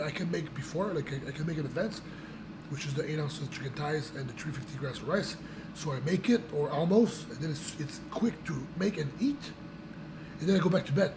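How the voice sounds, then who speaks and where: A young man with a voice gone raspy from a cold, chatting casually to his viewers in a rambling way with many restarts and fillers. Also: fairly high pitch, background noise. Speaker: vlogger. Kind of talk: casual chat to camera.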